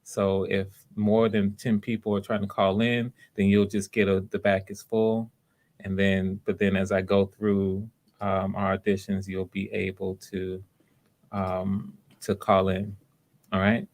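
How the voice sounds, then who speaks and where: Man, fairly low voice, audition host, casual livestream talk, encouraging. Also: flat delivery, a little slow, a little mellow.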